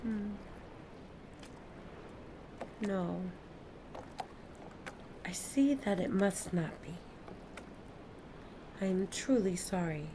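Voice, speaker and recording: A woman's voice: mid-range voice, expressive, slow pace, long pauses, background noise. A woman acting in an audio play as an earnest, eager alien observer who speaks precisely and formally. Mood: sorry, gentle. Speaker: actor. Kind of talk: audio drama acting, log reports and dialogue.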